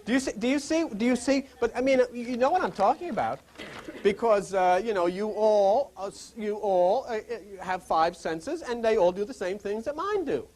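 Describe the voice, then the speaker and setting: A man's voice: sits high, expressive, moderate pace, darkish tone. A male pastor giving an informal sermon, reading scripture and pressing listeners with rhetorical questions.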